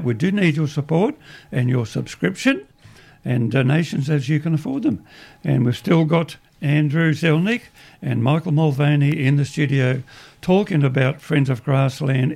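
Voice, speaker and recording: Man, Australian accent, radio host, croaky gravelly voice, easygoing chat, studio. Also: a little high, a little mellow.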